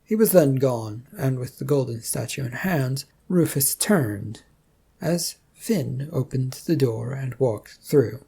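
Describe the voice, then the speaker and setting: A narrator with a gravelly, husky voice reads a scripted story. The tone is mellow and the delivery is measured, like straightforward storytelling. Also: medium pitch, expressive.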